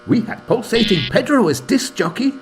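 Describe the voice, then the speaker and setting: An actor playing an earnest, excitable village man and narrator in a scripted comedy radio play, with a theatrical manner. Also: high voice, moderate pace, music in the background.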